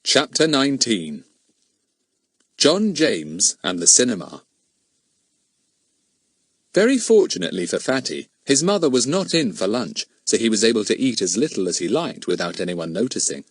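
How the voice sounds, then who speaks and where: Man narrating a children's story, lively pitch. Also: moderate pace, long pauses, breathy edge, no room sound, studio quality. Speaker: audiobook narrator.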